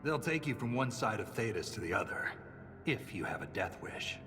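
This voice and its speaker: A gruff dwarf veteran in a fantasy game, dry and sardonic. His pitch swings expressively from line to line. The voice rings in a long cavern reverb over loud music. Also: very animated, normal speed. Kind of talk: video game cutscene acting.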